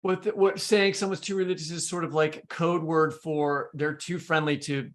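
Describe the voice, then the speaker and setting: A man's voice: on the high side, steady tone, moderate pace. A man hosting a podcast, talking politics casually and thinking aloud in an informal, hesitant way. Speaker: podcast host.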